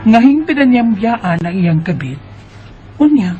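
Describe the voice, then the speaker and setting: A young Filipina daughter, played by a woman, speaks in a fairly high voice with a Cebuano accent. She is hurt yet caring, in radio drama style, with music under her. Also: very animated, a little slow, warm tone, dull audio. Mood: reflective, sad. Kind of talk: Bisaya radio drama acting and narration.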